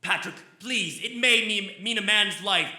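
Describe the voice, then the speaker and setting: A man's voice: sits high, a little slow, bright, forceful tone, heavily acted. An actor playing an anxious, weak-willed county doctor in a live old-time radio drama, agitated and hesitant as he pleads. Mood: desperate pleading. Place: theatre stage. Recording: echoey live stage recording.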